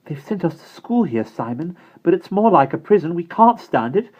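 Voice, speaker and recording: A man reading aloud voices a bold, spirited schoolgirl who speaks urgently and in a hush. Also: fairly high pitch, very animated, moderate pace, pitched above the performer's own voice. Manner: urgent, hushed. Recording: dull, lo-fi recording.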